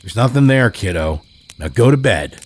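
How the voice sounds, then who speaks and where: A man's voice: medium pitch, animated, normal speed, pitched above the performer's own voice, close to an everyday voice. A man voicing an annoyed, tired father dismissing his child at bedtime in a spoken horror story. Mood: impatient. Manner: gruff, impatient.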